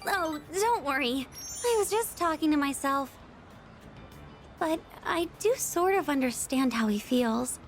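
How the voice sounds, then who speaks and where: Self-doubting schoolgirl, quite high voice, reflective, quietly longing, game dialogue. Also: expressive, measured pace, faint music behind. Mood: wistful, reflective. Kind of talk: video game character dialogue.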